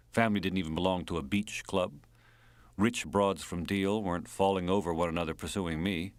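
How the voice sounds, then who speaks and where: A man's voice: low voice, no echo, natural voice. A man reading an audiobook as an earthy, wry American man reminiscing, speaking in clipped colloquial phrases with an American accent.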